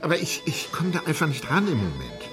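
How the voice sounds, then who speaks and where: A man's voice: fairly high pitch, huge pitch swings, quick pace, over music. An actor playing a nervous, cornered man pleading hesitantly in a radio drama. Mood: anxious pleading.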